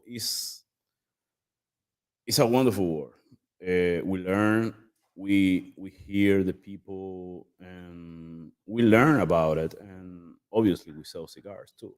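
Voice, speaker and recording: Slow, deep-voiced Dominican man. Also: fairly even intonation, a little mellow, smooth. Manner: hesitant, halting. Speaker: cigar shop manager. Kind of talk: casual self-introduction.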